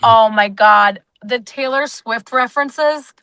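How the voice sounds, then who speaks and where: A woman's voice: fairly high pitch, slow pace, pushed and bright, clear, resonant tone, no echo. A woman co-hosting a podcast, retelling things animatedly in casual group chat. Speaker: podcast co-host reviewing a film.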